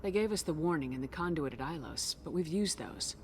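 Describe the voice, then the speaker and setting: A woman playing a steady human military commander in a video game, speaking directly and with assurance. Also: deep voice, brisk, slight background noise. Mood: direct, probing.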